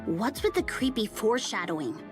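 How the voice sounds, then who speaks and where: A woman voicing a spunky, blunt young woman soldier in a game, talking in a lively, sassy way. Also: mid-range voice, a little fast, lightly acted. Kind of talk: game voice acting. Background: game music.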